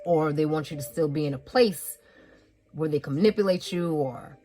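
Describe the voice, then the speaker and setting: A fairly low-voiced woman doing a laid-back tarot reading, talking straight and swearing without a second thought. She hammers her points home by repeating phrases and sniffles a little from a head cold, with faint music playing behind her. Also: normal speed, mellow. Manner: frank and blunt, with frequent swearing and laughing asides. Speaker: tarot reader.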